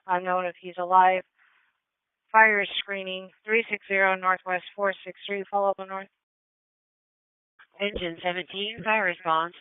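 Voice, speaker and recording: A woman's voice: mid-range voice, clear, resonant tone, no room sound. A woman working as a police and fire dispatcher over two-way radio, calling out incidents and numbers in a clipped, procedural way. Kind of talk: scripted-sounding radio dispatch calls. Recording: tinny narrow-band radio scanner feed.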